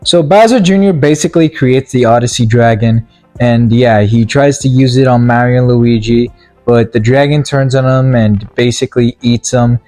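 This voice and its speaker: A man's voice: mid-range voice, normal speed, darkish tone, faint music behind. Rambling, exasperated man reviewing a movie. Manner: rambling and exasperated, stumbling over words. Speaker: video game movie reviewer. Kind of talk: casual comedic rant recap of a film.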